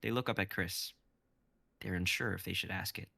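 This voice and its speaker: Brief: male narrator, low gravelly voice, even script reading, dry sound. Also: moderate pace, no echo. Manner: even, descriptive, with stage directions.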